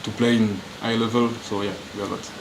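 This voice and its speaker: A man from France answering press questions in halting, searching English, restarting his sentences often. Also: fairly low pitch, level tone, background noise. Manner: halting non-native English, frequent restarts. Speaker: professional footballer. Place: media room.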